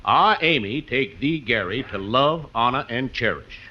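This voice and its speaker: An actor playing a frontier justice of the peace officiating in an old radio comedy, formal and deliberate. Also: male voice, medium pitch, lively intonation. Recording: noisy, muffled old radio recording.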